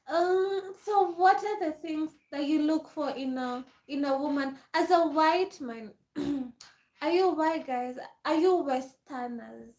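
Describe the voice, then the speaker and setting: A woman's voice: sits high, a little mellow. Young woman doing a phone livestream, chatty and laughy, roomy echo. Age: 20s. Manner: chatty, reads and answers viewer comments, laughs often. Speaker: livestream host. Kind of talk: casual livestream chat with viewers. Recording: echoey room.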